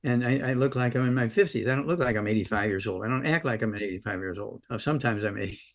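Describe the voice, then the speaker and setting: A man's voice: mid-range voice, quick pace. A man in his eighties giving casual health advice on a call-in show, rambling and folksy, ending points with "okay?". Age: 80s. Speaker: supplement advocate doctor answering callers.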